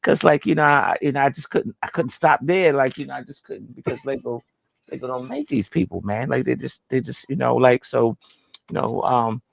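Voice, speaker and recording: A man's voice: medium pitch, normal speed, creaky. A man co-hosting a podcast, telling a rambling story full of fillers and laughter. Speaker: podcast co-host. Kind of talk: casual chat.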